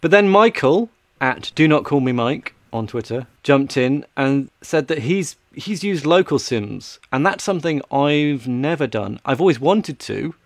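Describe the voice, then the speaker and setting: A man's voice: on the high side, lively intonation, a little slow, hardly any pauses, no room sound. A man in his thirties with a British accent, hosting a tech podcast from a small home studio. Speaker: podcast host. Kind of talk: casual tech podcast chat, scripted sponsor read.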